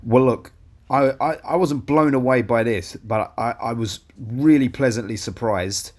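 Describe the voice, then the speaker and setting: A young man co-hosting a casual film review podcast, blunt and teasing, swearing freely and a little hoarse. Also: medium pitch, measured pace. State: losing his voice. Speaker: podcast co-host.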